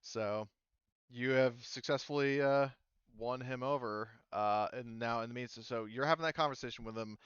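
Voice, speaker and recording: A man's voice: medium pitch, normal speed, a bit bright, clean tone, no echo, dull audio. A man running a tabletop roleplaying game as game master, talking hesitantly with many ums and restarts.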